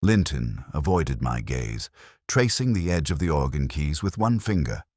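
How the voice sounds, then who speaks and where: A man narrating a detective mystery in the first person, with a measured, brooding, literary delivery. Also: extremely low pitch, sing-song, a little mellow, no room sound, studio quality.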